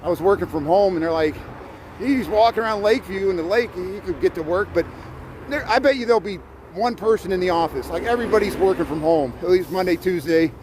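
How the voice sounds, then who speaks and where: Man, middle-aged, Chicago-Midwestern accent, rambling live-stream chat, outdoors, phone mic, steady traffic noise. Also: high-pitched, quick pace, rough recording. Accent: Chicago-Midwestern mix. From Indiana. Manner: chatty, repeats words, greets viewers by name. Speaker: livestream host. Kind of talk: live walking tour chat. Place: outdoors on snowy city streets. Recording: street noise behind. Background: traffic and city noise.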